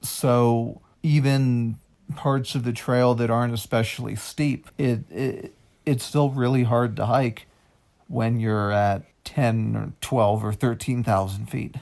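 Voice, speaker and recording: Unhurried guest, male, gravelly, halting answers with a touch of dry humor. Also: mid-range voice, steady tone, darkish tone. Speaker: guest, long-distance hiker. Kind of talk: casual interview answers.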